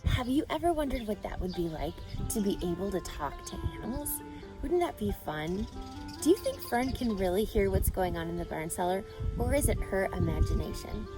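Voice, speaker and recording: A woman's voice: medium pitch, sing-song, normal speed, music in the background, rough recording. A woman narrating a children's book aloud and putting questions to young listeners. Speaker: storybook reader.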